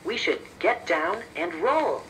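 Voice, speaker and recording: A man's voice: high-pitched, sing-song, slow, fairly bright tone, far from the mic, slight background noise, heavily acted, low-quality recording. A man voicing a friendly, patient teddy bear, explaining things reassuringly in a children's educational story. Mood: patient, instructive. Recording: children's cassette tape.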